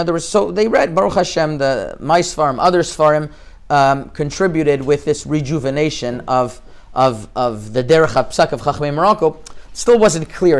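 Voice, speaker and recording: Halting, smooth-voiced male rabbi lecturing. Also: mid-range voice. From Canada. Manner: halting, many restarts, sprinkled with Hebrew terms. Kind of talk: informal Torah lecture.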